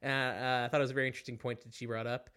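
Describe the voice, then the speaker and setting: A man's voice: medium pitch, level tone, very fast, bright, forceful tone, clean tone. A young man hosting a podcast, chatting casually in a rambling, self-deprecating way with lots of fillers. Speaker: podcast host.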